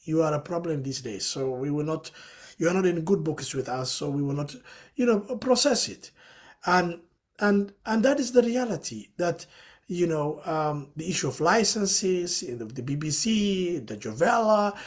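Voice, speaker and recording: A man's voice: fairly high pitch, animated, moderate pace. A man from Somalia, speaking as a panelist in a webinar discussion. He explains his points earnestly and fills his sentences with "you know".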